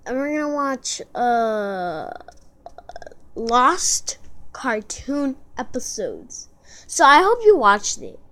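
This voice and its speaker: A woman's voice: a little high, very animated, takes it slowly, a bit bright. A woman hosting an amateur video with casual, unscripted chat in a childlike, repetitive manner. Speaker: amateur video host reacting to a video.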